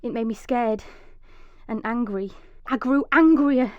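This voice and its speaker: An actress playing a desperate, vengeful mother trapped underground, narrating intensely in a breathy first-person radio drama monologue. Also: on the high side, measured pace, close to an everyday voice. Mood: weak, fearful, then furious, vengeful. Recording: echoey, slightly dull recording.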